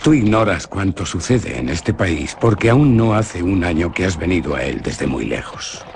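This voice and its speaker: A young nobleman in a radio drama, earnest and measured as he tells a tale. His voice sits low but moves expressively. Noise hangs clearly behind the recording. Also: big pitch swings. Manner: grave, measured storytelling.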